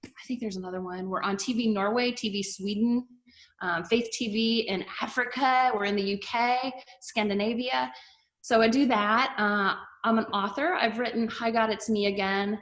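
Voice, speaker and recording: A woman's voice: a little high, steady tone, moderate pace, fairly bright tone. A middle-aged woman pastor and TV host, chatting casually with an online audience and asking them to type answers. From Canada-born, raised in the US. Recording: roomy echo.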